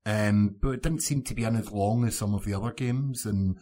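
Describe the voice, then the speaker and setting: A man's voice: on the low side, fairly quick, dark tone. A man chatting casually as a panellist on a gaming podcast. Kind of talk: casual podcast chat about video games.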